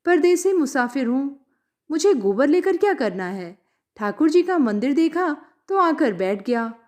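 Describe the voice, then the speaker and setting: A humble, devout young village man in a story reading, voiced by a woman. He sounds earnest and respectful. The pitch is high and moves a great deal. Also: very animated, measured pace, a little mellow.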